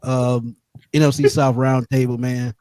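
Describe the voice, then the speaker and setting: Young male co-host, flat delivery, dry mic, joking shout-outs. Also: mid-range voice, unhurried, no room sound. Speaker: sports podcast co-host. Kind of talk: casual sports chat.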